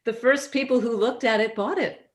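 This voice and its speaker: A woman's voice: on the high side, normal speed, close to an everyday voice. A woman in a staged reading, playing a forthright, courteous suburban neighbour who speaks with composure. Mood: composed. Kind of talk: staged reading of a satirical play. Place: video call.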